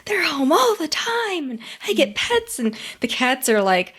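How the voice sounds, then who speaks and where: A woman's voice: sits high, very animated, unhurried, a bit bright. An American woman chatting casually as a podcast co-host, telling stories in an informal, giggly way. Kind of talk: casual chat.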